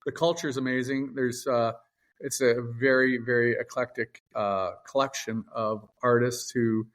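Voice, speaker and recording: A man in his forties from England, a musician guest in a casual interview, easygoing and pausing often with filler sounds. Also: medium pitch, steady tone, fairly bright tone. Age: 40s. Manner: easygoing, hesitant with many uhs.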